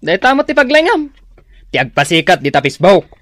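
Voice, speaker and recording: A woman's voice: fairly low pitch, very animated, fairly quick, fairly bright tone, dry recording. A woman acting a reluctant, self-conscious, grumbling spouse in a comedic radio drama, protesting and hesitant, with an Ilocano Filipino accent.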